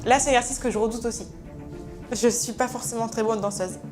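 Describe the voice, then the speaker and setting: A zookeeper woman speaks frankly about feeling nervous, her voice congested from a head cold. She sits slightly high in pitch. Background music runs beneath her. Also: steady tone, a little fast. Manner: candid, admits nerves plainly. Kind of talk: documentary interview narration.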